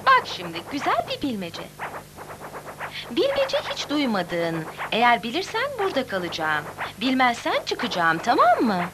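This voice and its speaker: A woman voicing a plucky, clever little girl heroine in a Turkish-dubbed children's cartoon, cheeky, animated and determined. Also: a little high, moderate pace, very noisy. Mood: crafty.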